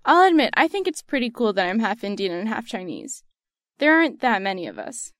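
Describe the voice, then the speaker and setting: A woman's voice: mid-range voice, sing-song, fairly quick, dry recording, clean studio sound. A young American woman with a General American accent, reading her personal essay aloud in an earnest, reflective way. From United States. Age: young adult. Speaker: student essayist.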